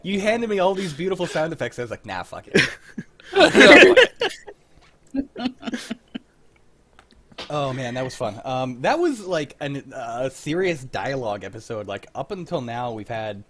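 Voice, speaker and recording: A man's voice: mid-range voice, wildly swooping pitch, unhurried, long pauses, background noise. A man hosting a casual group chat in a joking, rambling, freely swearing way. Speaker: host of a livestreamed script-reading show.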